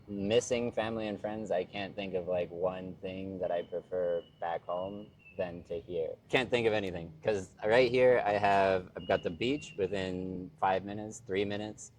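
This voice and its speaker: A man's voice: sits low, a little slow, a little hiss or hum, poor audio. A man being interviewed, talking casually and loosely about his life abroad, with lots of "like" fillers. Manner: relaxed, rambling, heavy use of "like". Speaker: interview guest, expat living in Vietnam. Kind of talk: casual conversation.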